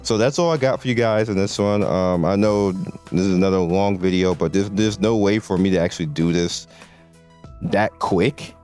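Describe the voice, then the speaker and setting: A man's voice: low voice, normal speed, a little mellow, soft background music. A man losing his voice, giving laid-back, slangy game commentary with lots of restarts. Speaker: mobile game content creator.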